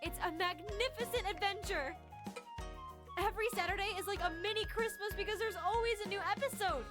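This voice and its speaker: A woman's voice: extremely high pitch, a little fast, music in the background. A woman playing a bubbly, earnest young girl who gushes over her favorite show, in a visual novel fandub. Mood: enthusiastic.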